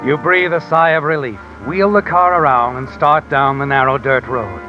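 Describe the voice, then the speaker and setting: An actor playing a mysterious narrator who needles the listener in the second person, in a 1950s radio drama. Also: male voice, on the high side, normal speed, lightly acted. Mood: insinuating. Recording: lo-fi old radio broadcast. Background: dramatic music score.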